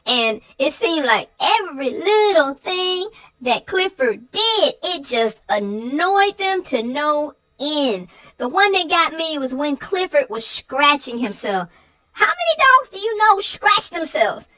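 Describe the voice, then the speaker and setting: A woman's voice: high-pitched, very animated, slow, sharp tone, low-quality recording. A woman co-hosting a children's read-aloud show, chatting about a story with young viewers.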